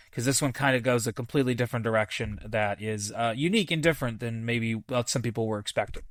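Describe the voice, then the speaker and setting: A man hosting a podcast, casually reviewing a film in a halting, thinking-aloud way with enthusiastic, repeated emphasis. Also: medium pitch, a bit bright, no room sound, studio quality. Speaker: movie review podcast host.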